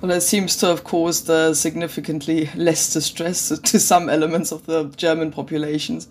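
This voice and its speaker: A man presents an interview podcast in formal, carefully phrased sentences. His voice sits a little on the high side for a male speaker. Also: level tone, moderate pace, a little hiss or hum. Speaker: podcast host. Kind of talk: scripted introduction and interview questions.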